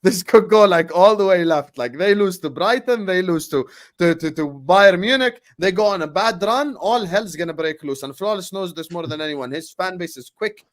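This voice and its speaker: Brash swearing man, higher voice. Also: animated, normal speed, hardly any pauses. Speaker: football podcast co-host. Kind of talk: heated casual football chat.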